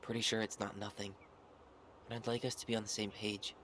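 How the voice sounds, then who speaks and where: A man's voice: fairly low pitch, little pitch movement, fairly quick, background noise, natural voice. A man playing a wounded, stubborn young man in naturalistic video game dialogue, earnest and blunt. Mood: probing. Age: young adult.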